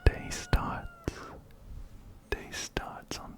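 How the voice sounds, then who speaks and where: Male ASMR gamer. Close-mic breathy whisper throughout, slow and gentle, reading on-screen text and commenting softly. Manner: gentle, unhurried, repeats words softly. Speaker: ASMR game streamer. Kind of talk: whispered ASMR game playthrough and read-aloud. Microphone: close-up binaural microphone, ear to ear.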